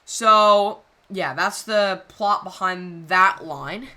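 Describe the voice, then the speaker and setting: Rambling woman with a bright voice. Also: medium pitch. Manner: rambling, halting, full of fillers. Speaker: channel creator. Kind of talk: casual video commentary.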